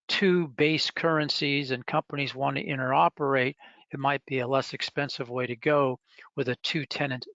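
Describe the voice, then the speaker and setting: A man presenting a software product in a live webinar, explaining step by step with halting pauses. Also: medium pitch, no echo. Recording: dull, lo-fi webinar audio.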